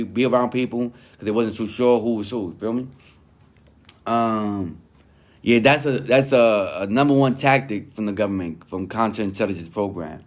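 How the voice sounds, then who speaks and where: A man commenting informally while reading aloud from a text, talking in conversational street slang and often checking that the listener follows. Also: mid-range voice. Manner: street-slang, conversational, peppered with "you know what I mean". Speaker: online commentator reviewing a written statement.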